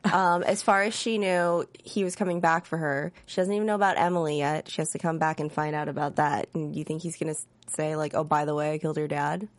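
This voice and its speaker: A woman's voice: sits low, fairly even intonation, quick pace, hardly any pauses, no room sound. A woman co-hosting a casual TV recap chat, blunt in her opinions. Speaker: podcast co-host.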